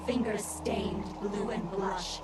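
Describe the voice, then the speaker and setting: A woman playing an eerie witch in a video game, softly chanting a rhyme like an incantation. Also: medium pitch, fairly even intonation, slow, natural voice. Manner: soft, incantatory. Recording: quiet voice under loud noise.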